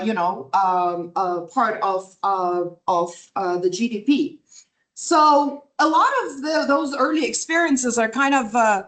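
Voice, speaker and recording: A middle-aged woman from Lebanon giving a workshop lecture over slides on a video call, conversational, often checking in with "right?" and filler words. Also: a little high. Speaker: visiting academic presenting a workshop.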